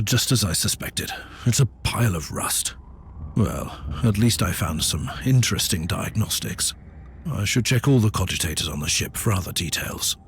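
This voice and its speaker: A man playing a grave, devout inquisitor psyker in a video game, speaking in a solemn, formal, measured way as if dictating a log. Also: deep voice, slight background noise. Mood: curious, dry. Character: grave, devout male inquisitor psyker investigating a derelict fortress. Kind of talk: scripted game dialogue and audio log narration.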